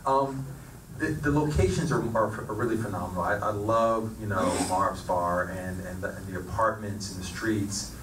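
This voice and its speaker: A man's voice: on the low side, normal speed. A man moderating a stage Q&A through a handheld mic, often stumbling and repeating words. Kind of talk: panel moderating. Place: stage of a screening theater. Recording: echoey theater, distant mic, noisy.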